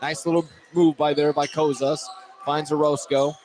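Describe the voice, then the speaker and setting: A man's voice: on the high side, flat delivery, normal speed. A man calling live basketball play-by-play as the announcer. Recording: noisy gym ambience, ball bounces. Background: basketball game in a busy gym.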